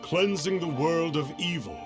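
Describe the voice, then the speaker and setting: Slow, pompous self-styled immortal. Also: male voice, a little high, expressive. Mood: lofty. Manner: pompous, stilted archaic formality. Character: pompous self-styled immortal mystic, grandiose and falsely modest. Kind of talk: video game voice acting. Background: faint game music.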